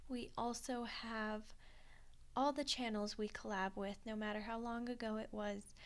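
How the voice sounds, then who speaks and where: A soft-spoken woman, an ASMR creator and voice actor, giving informal, appreciative thank-you credits. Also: fairly high pitch.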